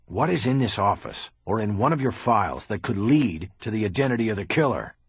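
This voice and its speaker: A man voicing a gruff, blunt police detective. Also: lowish voice, animated, a little fast, dry recording, exaggerated voice. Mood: controlled. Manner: blunt, curses, barks orders. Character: gruff tough middle-aged male police detective. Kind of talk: audiobook character reading.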